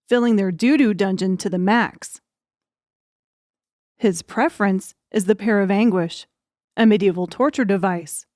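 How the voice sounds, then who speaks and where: A woman's voice: medium pitch, darkish tone, no echo, studio quality. A woman reading scripted narration as the narrator of an audiobook.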